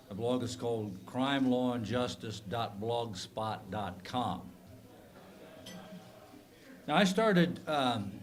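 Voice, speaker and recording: A man in his sixties with a bad cold, a retired professor giving a measured, methodical lecture to a club meeting, with frequent ums and uhs. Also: medium pitch. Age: 60s. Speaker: guest speaker, retired professor.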